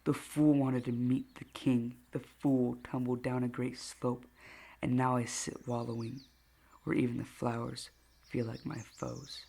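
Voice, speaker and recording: A man's voice: mid-range voice, flat delivery, a little slow, vocal fry, a little mellow. A man playing a melancholic boy in a puppet film, speaking formal, earnest verse. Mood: bitter, sorrowful. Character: melancholic rhyming boy, bitter yet earnest.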